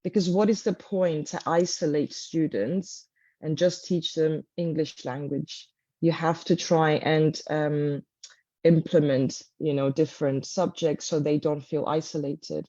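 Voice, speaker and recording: A woman from Cyprus, a guest teacher, talking in an interview with many pauses and fillers. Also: sits low, dark tone, dry recording, highs cut off. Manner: thoughtful, many ums and uhs. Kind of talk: interview conversation.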